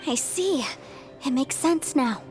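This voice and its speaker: A woman's voice: high-pitched, animated, slow, a little mellow, faint music behind. A woman playing an earnest, determined young half-immortal heroine in a video game, explaining and encouraging. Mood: thoughtful, explaining. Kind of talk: video game story acting.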